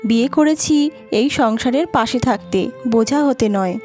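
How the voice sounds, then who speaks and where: A woman playing a gentle, steadfast young wife in a cartoon, soothing and encouraging in manner. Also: fairly high pitch, moderate pace, close to an everyday voice. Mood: reassuring. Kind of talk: cartoon dubbing. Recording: music behind the voice.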